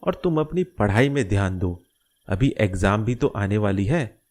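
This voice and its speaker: A man voicing a caring middle-aged father in a moral-story cartoon, gentle and explanatory as he reassures. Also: fairly low pitch, animated, normal speed. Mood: reassuring.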